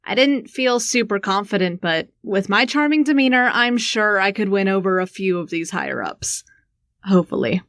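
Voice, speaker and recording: A woman's voice: medium pitch, expressive, normal speed, fairly bright tone, no echo, clean studio sound. A woman playing a sarcastic, brash young female ruler in an audio drama, slangy and irreverent. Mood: narrating, wry. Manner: flippant, slangy, sardonic. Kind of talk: audio drama acting with first-person narration.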